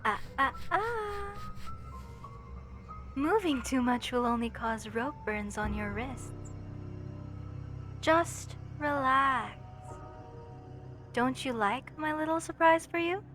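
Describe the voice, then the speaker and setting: A woman's voice: high voice, expressive, slow pace, long pauses, fairly bright tone, faint music behind, full character voice. A woman playing an obsessive, sweetly menacing mortician in a horror roleplay, with sugary, theatrical delivery and formal wording. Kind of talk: scripted horror roleplay monologue to listener.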